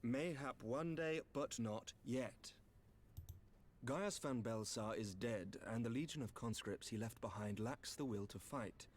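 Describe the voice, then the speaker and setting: An actor playing a courteous, grave knight commander in a fantasy game, speaking in formal, measured, archaic phrases with a slightly creaky voice. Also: male voice, mid-range voice, lightly acted. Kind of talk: scripted fantasy game dialogue.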